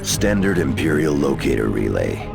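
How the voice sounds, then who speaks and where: Grim veteran space marine, video game. Rock-bottom pitch, gravel, terse measured delivery. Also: male voice, very animated, over loud music, heavily acted. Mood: grim, matter-of-fact. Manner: terse, measured, matter-of-fact. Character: grim, extremely deep-voiced veteran male space marine. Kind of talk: video game cutscene voice acting.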